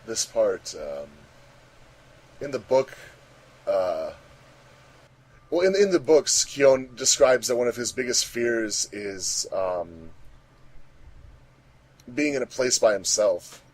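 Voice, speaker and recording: A man's voice: medium pitch, very animated, takes it slowly, pauses often, low-quality recording. A man giving casual group commentary, repeating himself for emphasis.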